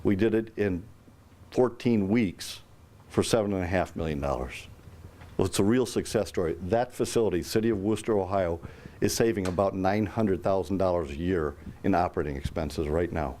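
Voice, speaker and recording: A man giving a brisk, promotional business presentation at a roundtable, with some fillers. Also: low voice, warm tone.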